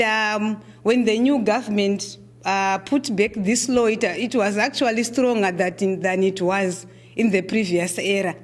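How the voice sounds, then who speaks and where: A middle-aged woman with a Zimbabwean accent gives a keynote address at a conference. She talks in a measured, deliberate way, often pausing on "uh" and repeating words as she restarts. Her voice sits at an ordinary pitch for a woman. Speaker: keynote speaker.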